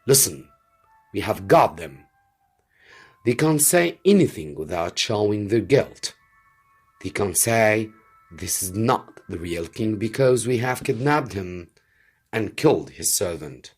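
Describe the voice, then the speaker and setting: A man voicing a determined soldier in a storybook reading, forceful, urgent and persuasive. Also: lowish voice, expressive, slow, breathy edge, soft background music, full character voice. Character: commanding, determined male soldier urging a plan.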